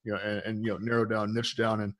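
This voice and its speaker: A man interviews a guest in a rambling, affirming way, piling on fillers. His voice is slightly low, and the recording is dry and close. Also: level tone, fast, dry recording. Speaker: podcast host, interviewer. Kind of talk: interview questions.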